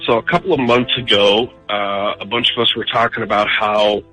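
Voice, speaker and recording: A man's voice: on the low side, fairly even intonation, fairly quick, sharp tone, rough recording. A man calling in to a radio show, telling a personal story casually in a hesitant, self-deprecating way. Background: background music.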